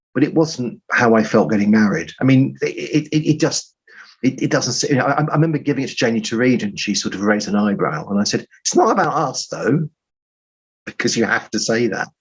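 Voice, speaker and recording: A man telling stories as an interview guest in a halting, rambling, self-deprecating way, on muffled audio. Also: mid-range voice, animated, slightly rough. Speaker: guest writer. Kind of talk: conversational interview storytelling.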